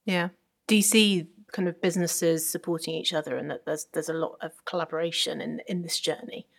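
A woman hosts a relaxed interview podcast. Her voice is a little low for a woman. She asks her questions hesitantly and often restarts them midway. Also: a little fast, gravelly. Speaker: podcast host. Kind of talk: casual interview chat.

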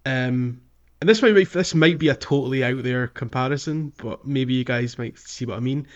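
A man co-hosting a podcast, thinking aloud in halting fragments during a casual gaming discussion. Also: medium pitch, dull audio. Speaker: podcast co-host.

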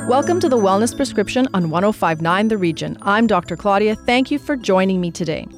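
A woman's voice: medium pitch, expressive, a little fast, faint music behind. A woman hosting a radio health show, reading a scripted intro and asking interview questions.

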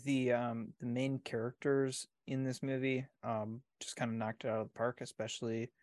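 A man's voice: mid-range voice, level tone, moderate pace, darkish tone, no echo. A man co-hosting a casual podcast, easygoing and teasing, laughing often and hedging with fillers.

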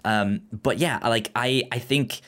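A man's voice: mid-range voice, unhurried, a bit bright. A man co-hosting a podcast, chatting casually and stammering over repeated syllables and words. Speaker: podcast co-host reviewing a film. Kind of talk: casual discussion.

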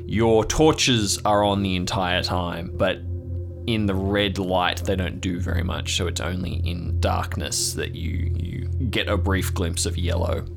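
Low-voiced man narrating as game master. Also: steady tone, a little slow, creaky. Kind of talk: improvised narration of a tabletop audio drama game. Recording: music playing behind the voice. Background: background music.

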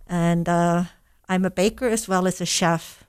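A woman's voice: on the low side, fairly even intonation, unhurried, clean tone. A woman from Germany, a baker and chef, answering interview questions in a somewhat formal way.

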